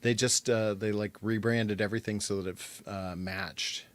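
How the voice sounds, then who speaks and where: A man's voice: fairly low pitch, fairly even intonation, moderate pace. A man co-hosting a podcast in casual conversation, with some noise on his recording. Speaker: podcast co-host. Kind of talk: casual chat.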